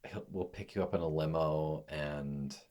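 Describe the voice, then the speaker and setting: A man co-hosting a casual podcast, easygoing and quick to laugh, talking over song lyrics. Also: extremely low pitch, dark tone. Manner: easygoing, laughs often, reads definitions aloud. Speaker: podcast co-host.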